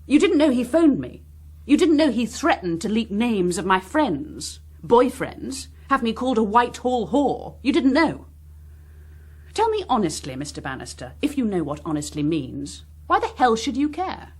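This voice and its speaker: A proud, embittered Englishwoman in a political drama. Her voice is husky and swings widely in pitch, with clipped, sardonic phrasing and open defiance. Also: very animated, normal speed, a little mellow. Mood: bitter, angry. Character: bitter, proud, defiant young woman in Westminster. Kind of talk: naturalistic political drama dialogue.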